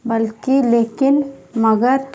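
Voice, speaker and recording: A woman teaching an exam-prep grammar class, repeating each word again and again as if for dictation. Her voice sits high but has a soft, mellow tone, and she takes her time over every phrase. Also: steady tone, a little hiss or hum. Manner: slow, repetitive dictation, repeating each term several times.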